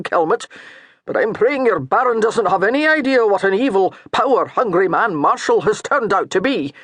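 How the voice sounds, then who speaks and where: A man's voice: high voice, a bit bright, no echo, pitched above the performer's own voice. A man voicing a dour, mocking Scottish priest with a thick Scottish brogue. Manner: baiting, stern, mocking.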